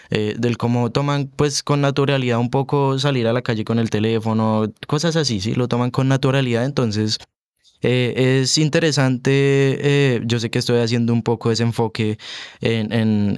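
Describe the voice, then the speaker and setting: A young man from Colombia with a Bogotá accent, a student guest speaking on a radio discussion in a halting way, full of fillers and restarts. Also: fairly low pitch, a little mellow, clean tone, dry recording, studio quality. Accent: Bogotá Colombian Spanish. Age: young adult. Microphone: studio microphone.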